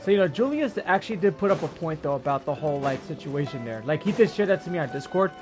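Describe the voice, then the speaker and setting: A man's voice: on the high side, lively intonation, fast talker, darkish tone. A man giving casual live-stream commentary as a game streamer, rambling and informal. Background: game music.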